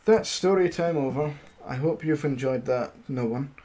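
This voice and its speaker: A man streaming amateur storytelling, halting as he reads, with casual, self-deprecating asides. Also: a little high, mellow, soft background music. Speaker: streamer reading stories aloud.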